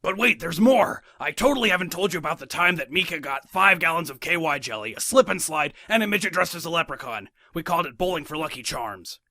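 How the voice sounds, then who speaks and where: A man's voice: on the high side, expressive, fast, hardly any pauses, pushed and bright, dry recording, clean studio sound, full character voice. A man playing a boastful, unhinged police chief who rambles through crude stories in a comedic cartoon parody. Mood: gleeful storytelling. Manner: rambling, gleefully crude, blustering. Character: boastful, unhinged old police chief telling lewd tales.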